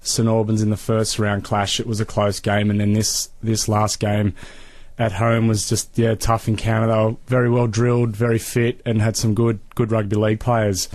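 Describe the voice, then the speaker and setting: A man's voice: fairly low pitch, little pitch movement, moderate pace, a little mellow, no echo. An Australian man from Sydney giving casual, tentative, understated answers as a guest in a radio interview. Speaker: interview guest, amateur rugby league player.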